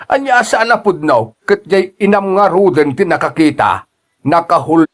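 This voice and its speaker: A man's voice: sits high, expressive, unhurried. A man with an Ilocano accent playing an older father in an audio drama, drawing out his words as he chides his son. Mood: reporting, insistent.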